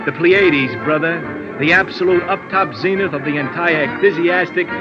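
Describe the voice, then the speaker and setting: An actor playing a chatty, slangy bar manager who uses pompous big words, in old-time radio drama. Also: male voice, fairly high pitch, normal speed, close to an everyday voice. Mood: gabby, enthusiastic. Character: gabby, hip, self-taught male bar manager. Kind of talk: 1950s radio acting, hipster slang. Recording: dull old radio recording, music behind. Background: music.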